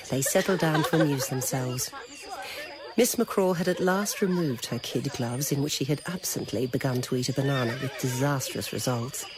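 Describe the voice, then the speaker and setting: A woman narrating a dramatic scripted story in a deliberate, literary manner. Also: sits low. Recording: noisy old radio recording.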